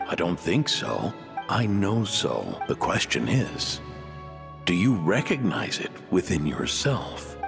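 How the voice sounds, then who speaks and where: A man's voice: sits low, sing-song, slow pace, vocal fry, full character voice. A man voicing a thoughtful, patient inventor mentor speaking philosophically in an anime video game. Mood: patient, assured. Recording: reverberant hall, music underneath. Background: background music.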